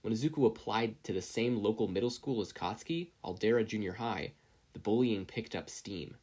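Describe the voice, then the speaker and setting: Medium-pitched story narrator. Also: a little fast, a little mellow. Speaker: podfic narrator.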